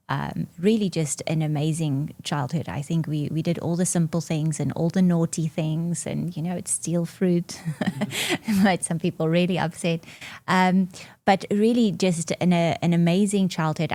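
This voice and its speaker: A South African woman in her forties whose first language is Afrikaans, chatting in an interview as a marketing executive, laughing often and filling pauses with "um". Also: low-pitched, a little mellow, no room sound. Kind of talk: podcast interview conversation.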